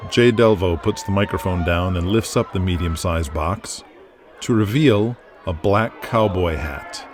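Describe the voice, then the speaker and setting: A man's voice: sits low, unhurried, noisy background. A man narrating a scripted audio drama in the present tense, with intense, punchy storytelling.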